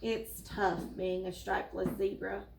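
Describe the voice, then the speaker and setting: A woman's voice: medium pitch, level tone, measured pace, vocal fry, darkish tone, slight background noise, pitched below the performer's own voice. A woman voicing a sad, self-conscious storybook zebra in a plaintive manner. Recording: echoey room.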